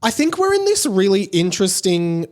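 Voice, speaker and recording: A man with a slightly high voice gives casual commentary as a solo podcast host. He talks conversationally, working through his thoughts aloud. He often repeats a word to stress his point. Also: very animated, moderate pace, a little mellow. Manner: conversational, thinking aloud, emphatic repetition.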